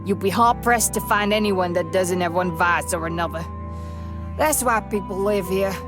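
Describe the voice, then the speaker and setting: A woman's voice: lowish voice, normal speed, natural voice. An actress playing a tough, world-weary, motherly older bartender in a visual novel, folksy and no-nonsense. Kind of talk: visual novel character acting. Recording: music behind the voice.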